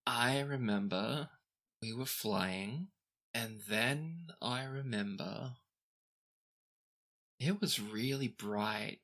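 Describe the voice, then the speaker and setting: A man voicing a groggy, lovestruck young man in a hospital bed, slow and halting in a tabletop game. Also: mid-range voice, a bit bright. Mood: dazed, hazy. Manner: slow, blearily affectionate, halting.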